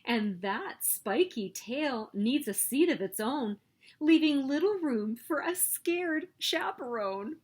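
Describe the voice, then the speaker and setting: A woman reading a children's picture book aloud as story host, with expressive, emphatic delivery. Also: sits high, takes it slowly, slightly rough. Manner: expressive, emphatic storytelling with sing-song refrains.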